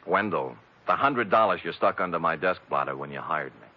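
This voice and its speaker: Wry radio private eye, lively delivery. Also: male voice, low voice, brisk. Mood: puzzled, probing. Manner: wry, deadpan. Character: wry hard-boiled male private detective. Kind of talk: 1940s radio drama acting, first-person narration. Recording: muffled old radio recording with music.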